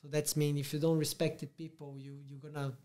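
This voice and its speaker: A Brazilian man with a Portuguese accent hosting a casual interview in English, talking in halting bursts with many restarts. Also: on the high side, level tone, warm tone. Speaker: podcast host.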